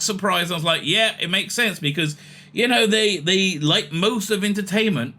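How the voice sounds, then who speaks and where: A man's voice: fairly high pitch, pushed and bright. A man hosting his own online show, giving rambling, opinionated, jokey commentary, often digressing and repeating words and phrases. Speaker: YouTube show host; rabbi.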